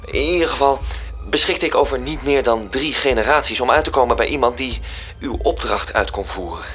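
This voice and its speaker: A man's voice: medium pitch, fairly quick, fairly bright tone, natural voice. Man as dutiful, precise angel civil servant. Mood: weary, explanatory. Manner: deferential, precise, formal. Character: dutiful male angel, bureaucratic agent reporting upward. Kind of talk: radio drama acting. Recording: music behind the voice. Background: music.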